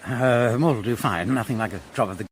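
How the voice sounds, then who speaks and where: An actor playing a hearty, genial English major in a radio drama, affable in manner. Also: male voice, medium pitch, brisk, close to an everyday voice. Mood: jovial. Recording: party crowd chatter behind. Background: crowd chatter.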